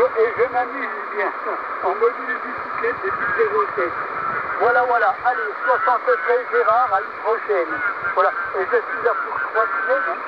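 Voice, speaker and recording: High-pitched older man over noisy shortwave radio. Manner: rambling, repeats himself. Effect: heard over shortwave radio receiver. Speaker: amateur radio operator. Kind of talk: casual ham radio conversation. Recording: noisy, muffled shortwave radio through small speaker.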